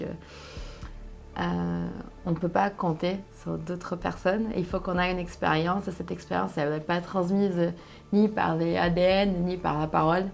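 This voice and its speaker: A young woman from Brazil, a native Portuguese speaker with a Brazilian accent, telling her story conversationally and haltingly as she searches for words. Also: lowish voice, gravelly, darkish tone. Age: young adult. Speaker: interview guest, solo sailor.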